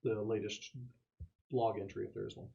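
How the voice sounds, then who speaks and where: A man's voice: fairly low pitch, level tone, normal speed, creaky, warm tone, lo-fi sound. A man talking casually as a player at a tabletop roleplaying game.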